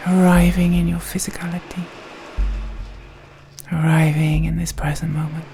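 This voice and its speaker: A woman gently leading a guided meditation in a soft, breathy voice, speaking slowly and soothingly with long pauses. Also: deep voice, little pitch movement. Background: soft meditation music.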